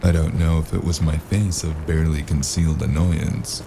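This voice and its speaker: Man playing a very deep gravelly noir investigator. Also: normal speed, noisy background. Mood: brooding, wry. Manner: deadpan, dry, brooding. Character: weary, sardonic hard-boiled noir investigator. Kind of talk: first-person noir audio drama narration.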